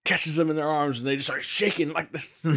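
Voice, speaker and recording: A man in his forties hosting a reaction video, talking casually and emphatically into a close desk mic in a home studio, opinionated and animated. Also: on the high side, normal speed, dry recording. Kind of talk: casual commentary reacting to a TV show. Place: small home studio room, indoors. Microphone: close desk microphone.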